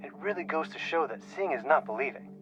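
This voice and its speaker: A man playing an earnest investigator in an audio drama, recounting eerie events in a formal, wordy, measured way. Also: a little high, lively intonation, dull audio, natural voice. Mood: pensive. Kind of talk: scripted audio drama journal narration. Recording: music under the voice.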